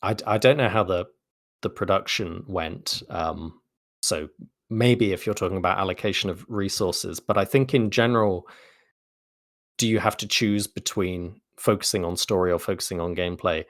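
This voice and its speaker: Low-voiced man explaining in interview. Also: moderate pace, no room sound, studio quality. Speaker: interview guest, game narrative designer. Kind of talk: thoughtful interview conversation.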